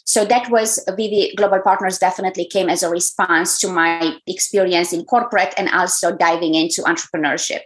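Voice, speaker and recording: A woman from Romania with a Romanian accent, answering as a podcast guest in an effusive, measured way. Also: on the low side, fairly even intonation, poor audio. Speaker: guest, brand strategist. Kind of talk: interview answers.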